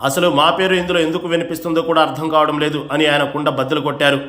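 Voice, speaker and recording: A man with a somewhat high voice delivers a scripted news report. His delivery is formal and emphatic, like a voice-over for a bulletin. The sound is clearly roomy and echoing. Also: level tone, fast talker, a bit bright. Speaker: news narrator.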